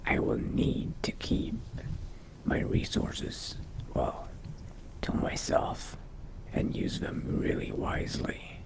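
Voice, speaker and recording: A man voicing a pensive, solitary vampire adventurer in a game roleplay, musing to himself in a breathy, gravelly half-whisper. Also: slow, natural voice. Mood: musing, subdued. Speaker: player role-playing in a video game. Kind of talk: in-character game roleplay monologue.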